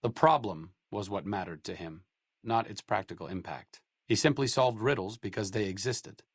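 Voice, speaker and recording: A man's voice: fairly low pitch, no room sound. An actor playing a dry, sardonic male scientist companion in a video game. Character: wry, educated male scientist companion in a wasteland. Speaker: companion character.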